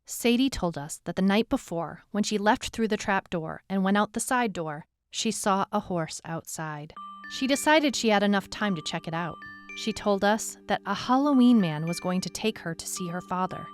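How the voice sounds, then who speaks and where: A woman playing a tough, weary, skeptical detective who narrates her case in a measured, dramatic, reflective way. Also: mid-range voice, animated, close to an everyday voice. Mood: grave, suspenseful. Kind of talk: scripted first-person story narration. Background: music bed under the voice.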